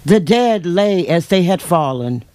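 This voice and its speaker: A man giving a solemn, measured dramatic reading of literary prose at a live bookshop event. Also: high voice, expressive, background noise. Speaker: reader in a staged book reading. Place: bookshop event before a seated audience.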